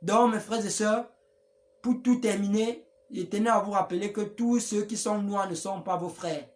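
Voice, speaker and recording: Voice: mid-range voice, steady tone, normal speed. Rambling preachy streamer. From Africa. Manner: rambling, preachy, with many restarts. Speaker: political live streamer. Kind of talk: live-stream address to followers.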